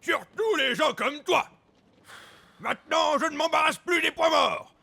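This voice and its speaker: Un acteur joue un capitaine de navire septuagénaire furieux, qui menace son équipage d'une voix rocailleuse et théâtrale. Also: male voice, very high voice, exaggerated voice. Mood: menacing rage. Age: 70s. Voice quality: gravelly. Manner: menacing, theatrical, drawn-out shouting. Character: furious seventy-year-old sea captain barking at his crew.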